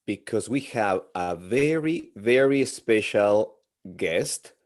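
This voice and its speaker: A man from Mexico City with a Mexican Spanish accent hosting an interview in halting English, often restarting his sentences. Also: lowish voice, lively intonation. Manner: halting, with many restarts and filler sounds. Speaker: interview host. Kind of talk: casual interview.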